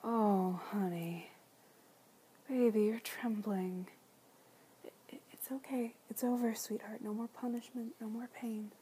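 Slow, mellow whispering woman. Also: on the high side, steady tone, breathy edge. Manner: soft soothing whisper, tender pet names, gentle repeats. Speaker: audio roleplay performer. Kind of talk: whispered ASMR roleplay.

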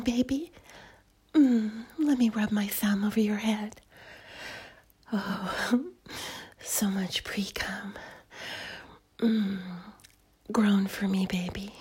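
A woman playing a lustful, coaxing seductress in an intimate audio roleplay for adults, speaking to her lover in a breathy, whispery, drawn-out way. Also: medium pitch. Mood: teasing, coaxing. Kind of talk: intimate erotic audio roleplay addressed to the listener.

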